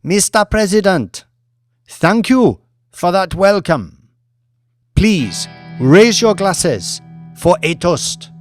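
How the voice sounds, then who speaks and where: Audiobook role: male reader as a triumphant female orator, lifted pitch, declamatory, deliberate, commanding a crowd. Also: sing-song, no room sound, faint music behind, full character voice. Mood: celebratory. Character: triumphant female African stage performer, rousing and proud. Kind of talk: audiobook character speech, grand public oratory.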